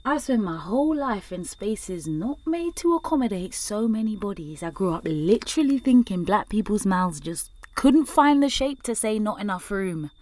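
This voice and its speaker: A woman's voice: fairly low pitch, no echo. A woman playing an anxious, wry young woman in an audio drama monologue, speaking candidly and conversationally in a London British accent. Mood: wry, animated. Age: young adult. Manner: candid, self-mocking, sweary, animated.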